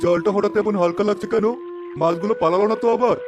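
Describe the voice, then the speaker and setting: Casting: male actor for rural fisherman sidekick in animated folklore, high-pitched, speedy lines. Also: fast talker, dull audio, over music, natural voice. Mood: puzzled, worried. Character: village fisherman, easygoing, puzzled companion. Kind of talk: animated folk tale character acting.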